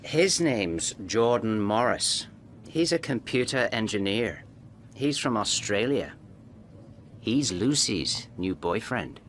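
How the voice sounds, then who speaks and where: Man, Irish accent, slow clear narration, lively pitch, faint background noise. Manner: clear, deliberate delivery. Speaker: narrator of a language-course story. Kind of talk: slow scripted narration for learners.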